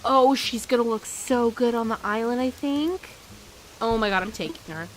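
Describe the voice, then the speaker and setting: A young woman chatting casually on a gaming live stream, rambling with lots of fillers and bursting into excited squeals, her voice still slightly hoarse. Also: on the high side, normal speed, poor audio. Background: game music.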